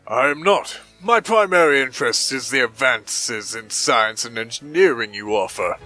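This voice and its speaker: A man playing a smooth-talking, profit-minded industrialist, courteous and wordy, in a dramatic comic dub. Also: medium pitch, lively intonation, moderate pace, bright, forceful tone, faint music behind. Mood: courteous, calculating.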